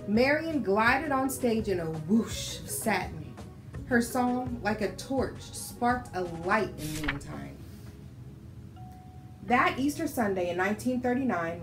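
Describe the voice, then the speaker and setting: Children's storytime host: American woman, 30s, medium pitch, expressive and instructive, clear music bed underneath. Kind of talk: read-aloud storytelling for children.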